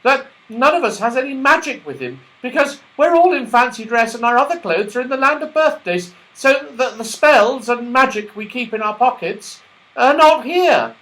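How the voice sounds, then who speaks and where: A man voicing a thoughtful old bearded magical man who explains things deliberately, in a children's storybook. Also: extremely high pitch, expressive, fairly bright tone, voice pushed up, low-quality recording. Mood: worried, thoughtful.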